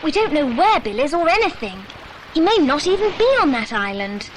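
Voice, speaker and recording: A woman's voice: high voice, big pitch swings, a little fast, noisy background. A woman playing an anxious, caring young girl in a children's audio adventure drama. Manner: earnest, worried questioning.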